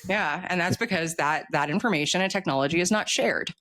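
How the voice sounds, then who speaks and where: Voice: mid-range voice, brisk, pushed and bright. A middle-aged author in a casual interview, talking at length in a candid, informal and emphatic way.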